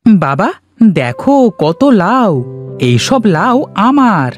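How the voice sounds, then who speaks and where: Guy putting on a little cartoon village kid, high voice, super eager and boasty, music behind. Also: very animated, slow pace, exaggerated voice. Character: excitable little village boy who adores gourds. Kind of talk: cartoon story voice acting.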